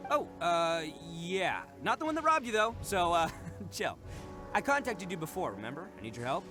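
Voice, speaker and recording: Casting: brash young video game fighter, confident and slangy, lively swooping intonation, music underneath. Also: male voice, high-pitched, moderate pace. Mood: awkward, insistent. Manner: cocky, slangy. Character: brash, confident young male brawler out for payback. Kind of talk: English video game voice acting.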